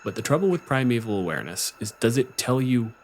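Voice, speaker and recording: A man's voice: mid-range voice, very animated, fast, slight background noise. A man speaking as game master, running a tabletop roleplaying game in conversational narration.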